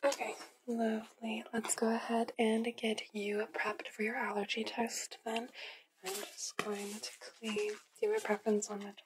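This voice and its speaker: A young woman whispering in an ASMR medical roleplay, giving hushed, soft instructions step by step as an examiner. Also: on the high side, little pitch movement, moderate pace, background noise. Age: young adult. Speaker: ASMR performer role-playing a medical examiner. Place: small indoor studio with dark backdrop.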